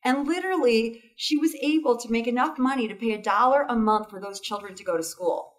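A woman's voice: a little high, a little fast, recorded from a distance. A woman being interviewed as a foundation founder, talking enthusiastically and often tagging sentences with "right?" and "you know". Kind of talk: interview conversation.

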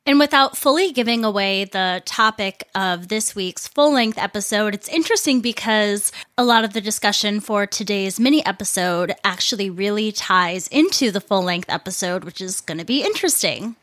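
A woman hosts her solo podcast in a relaxed, chatty tone, sounding a little stuffed up in the nose. She leans on repeated words for emphasis. The recording is close and dry, with no room sound. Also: mid-range voice, normal speed, hardly any pauses, no room sound. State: congested. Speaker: podcast host. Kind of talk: casual solo podcast talk.

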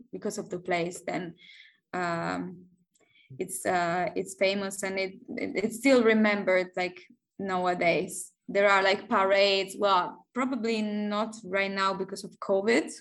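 A woman in her thirties from southern Italy with an Italian accent, speaking English in a casual interview and pausing often to find words. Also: mid-range voice, fairly bright tone. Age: 30s. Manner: hesitant, many pauses and fillers, light laughs. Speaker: guest.

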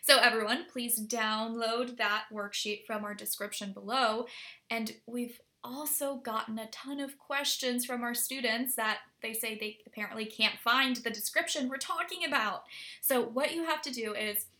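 A woman hosting a language lesson podcast, upbeat and energetic as she introduces the episode. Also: on the high side. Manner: upbeat and energetic in the intro, slow and deliberate when modelling phrases. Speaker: podcast host and Polish teacher.

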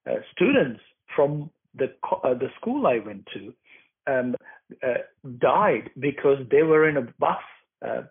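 A man answers interview questions in a halting, thoughtful way, pausing on fillers and starting over mid-sentence. His voice is a little husky and breathy. It comes through a thin, phone-like line. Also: mid-range voice. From Sri Lanka. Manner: thoughtful, many fillers and restarts. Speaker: guest, documentary maker. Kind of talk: interview answers, personal account.

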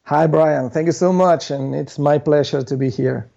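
Argentine man, Spanish native, higher mellow voice, muffled remote audio, thoughtful guest. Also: normal speed, creaky. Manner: thoughtful, many fillers and restarts. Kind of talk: casual interview chat.

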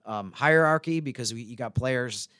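Clean, smooth-voiced man talking sports. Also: mid-range voice, moderate pace, bright, forceful tone, dry recording, clean studio sound. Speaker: sports podcast host. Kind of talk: casual sports analysis.

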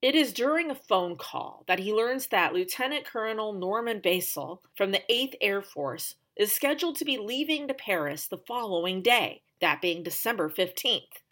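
A woman narrates as a podcast host. She mixes scripted storytelling with chatty, conversational asides and leans on key words for dramatic emphasis. Her voice sits at a middle pitch for a woman. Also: expressive, normal speed, hardly any pauses, a bit bright.

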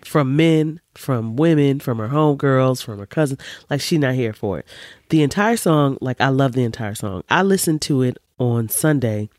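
A woman in her forties with a deep voice, hosting a podcast in an emphatic, animated, opinionated monologue full of casual swearing. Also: moderate pace, no room sound, clean studio sound. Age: 40s. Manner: emphatic and animated, swears freely, preachy asides. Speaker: podcast host.

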